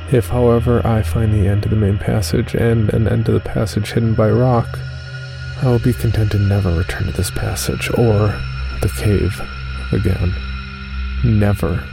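Guy acting a haunted caver telling his story, low creaky voice, solemn and deliberate, music underneath. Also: fairly even intonation. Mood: reflective. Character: Ted, driven haunted amateur caver writing a journal. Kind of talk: dramatic first-person horror narration.

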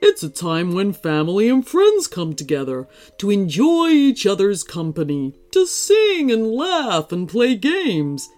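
Give me a woman putting on a gentle giant of a man in a red suit, speaking softly and slowly with a lively lilt. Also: mid-range voice, soft background music. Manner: soft, gentle, slow and warm.

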